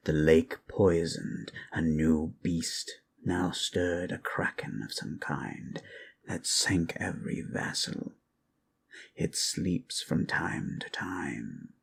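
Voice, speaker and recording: A man voicing an ancient, weary undead lich who tells history in a hushed, solemn, gravelly voice for an ASMR roleplay. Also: sits low, a little hiss or hum, exaggerated voice. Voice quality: gravelly, creaky. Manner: measured, hushed, solemn, with long pauses. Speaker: storyteller. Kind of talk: ASMR roleplay, slow improvised fantasy history lesson.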